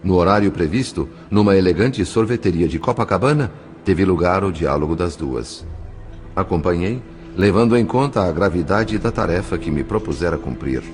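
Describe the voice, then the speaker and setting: Deep-voiced Brazilian man narrating expressively. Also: expressive, normal speed, a little mellow, faint music behind. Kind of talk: scripted dramatic narration of an audio novel.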